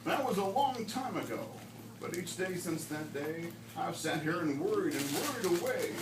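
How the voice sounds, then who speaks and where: A man's voice: high voice, lively intonation, moderate pace. A man reading a rhyming storybook aloud in a dramatic, emphatic way, in a classroom. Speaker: volunteer storybook reader. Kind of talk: expressive rhymed storybook reading. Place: school classroom. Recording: roomy, distant mic, background noise.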